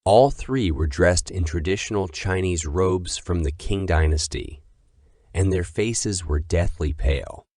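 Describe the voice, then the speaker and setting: A man's voice: low-pitched, measured pace, darkish tone, dry recording, studio quality. A man reading scripted horror story narration.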